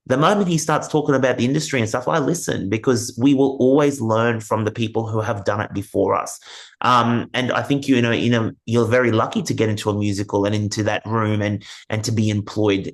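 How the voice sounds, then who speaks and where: A man hosting a conversational interview, earnestly advising listeners and repeating his points. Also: on the low side. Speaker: interview host.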